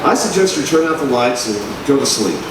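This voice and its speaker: A man's voice: mid-range voice, very animated, fairly quick, distant mic, lightly acted. A man playing a mild, skeptical, placating newspaper reporter in a 1940s-style radio drama. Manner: placating, doubtful. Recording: roomy, noisy live stage recording from afar.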